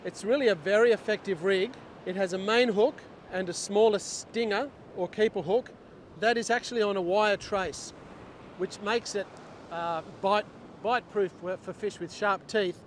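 A middle-aged man with a fairly high voice talks through his beach fishing in a steady, step-by-step way. He is on a lapel mic on an open beach, with wind and surf clearly heard underneath. He sounds like a fishing instructor working with his hands as he explains. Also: normal speed.

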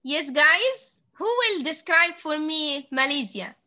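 A woman's voice: high-pitched, lively intonation, takes it slowly, bright, forceful tone. A young adult woman teaching an online English class to children over a video call, encouraging and full of praise. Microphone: computer mic on video call.

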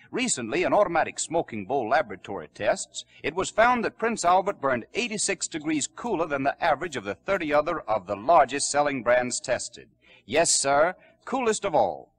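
A male announcer delivers an old radio commercial with very animated intonation. His voice is rather high and husky, on a vintage broadcast recording. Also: dry recording. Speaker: radio announcer reading a sponsor ad. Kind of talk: 1940s scripted radio commercial.

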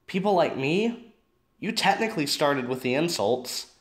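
A man's voice: on the high side, animated, unhurried. A man playing a blunt but caring teenage boy in an audio drama, direct and conversational. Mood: challenging.

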